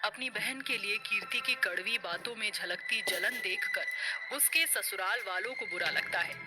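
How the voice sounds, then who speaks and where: A woman's voice: medium pitch, moderate pace. A woman narrating a scripted story. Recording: loud music under the voice.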